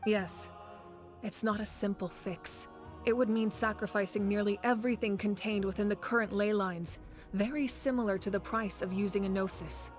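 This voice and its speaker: A woman playing a composed, grave leader of warriors in a video game, measured and authoritative. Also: mid-range voice, fairly even intonation, soft background music, natural voice. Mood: grave, urgent. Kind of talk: dramatic game voice acting.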